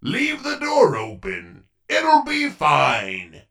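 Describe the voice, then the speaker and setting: A man's voice: very high-pitched, huge pitch swings, extremely slow, creaky, bright, forceful tone, distant mic. A man hosting as a podcast announcer in a pompous, theatrical, scripted comedy style. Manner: pompous, bombastic, exasperated showman delivery.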